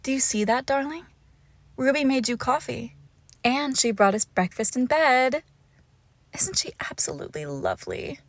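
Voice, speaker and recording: A woman playing a lovesick, possessive young witch in an intimate roleplay audio, cooing haltingly and tenderly with a creepy edge, often dropping into whispers. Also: high-pitched, lively intonation, no room sound. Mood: playful, doting. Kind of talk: intimate roleplay audio acting, close to the listener.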